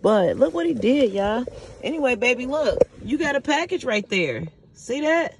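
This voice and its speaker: A woman's voice: high-pitched, big pitch swings, normal speed. A woman chatting casually to viewers in a vlog, talking loosely with slang and addressing them as y'all. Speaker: vlogger. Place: home and car. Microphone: phone held in hand. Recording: noisy phone recording.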